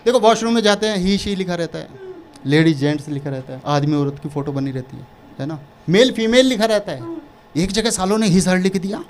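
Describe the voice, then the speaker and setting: A man's voice: high-pitched, brisk, slight background noise. A man from Uttar Pradesh performing a stand-up comedy set, telling stories and acting out dialogue to a laughing audience. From Uttar Pradesh, India. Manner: animated storytelling, acting out dialogue.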